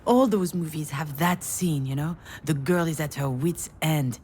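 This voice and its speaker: Game heroine: sardonic, worn-out screenwriter, unhurried confessional delivery, lowish voice, faint noise behind. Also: female voice, darkish tone. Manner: wry, conversational, dry humour. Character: weary, self-mocking failed screenwriter woman, wry and sardonic. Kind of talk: first-person game narration, confessional.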